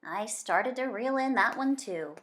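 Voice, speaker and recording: Higher-voiced woman reading a storybook aloud. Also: a little slow. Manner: dramatic, varying from hushed suspense to emphatic excitement. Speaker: children's book reader. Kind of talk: expressive storybook read-aloud.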